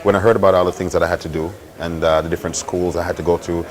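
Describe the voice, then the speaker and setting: A Jamaican man from the countryside, a radio host being interviewed as a guest, who talks in a relaxed, conversational way. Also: low-pitched, rapid-fire. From Westmoreland, Jamaica. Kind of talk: casual interview talk.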